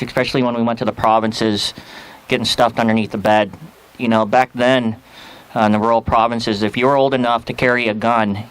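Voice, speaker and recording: A man from the Philippines, an army veteran, giving a personal speech at a rally in a halting way with many pauses and fillers. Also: on the low side, clear, resonant tone, poor audio.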